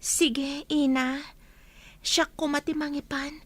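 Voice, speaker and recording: A woman's voice: sits high, flat delivery, slow. A woman playing an earnest young village herbal healer in an Ilocano radio drama.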